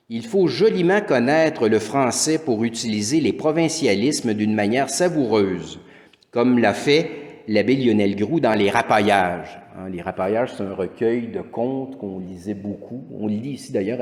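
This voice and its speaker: A man's voice: on the low side, expressive, moderate pace, a little mellow. A middle-aged man with a Québécois French accent gives a lecture as a teacher, rambling with frequent restarts and fillers. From Quebec. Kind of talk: conference lecture. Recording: echoey hall with long reverb.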